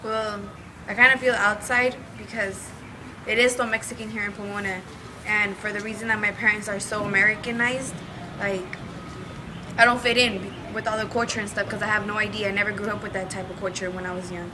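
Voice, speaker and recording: A woman's voice: medium pitch, level tone, fairly quick, noisy background. A young woman answering an interview plainly and matter-of-factly.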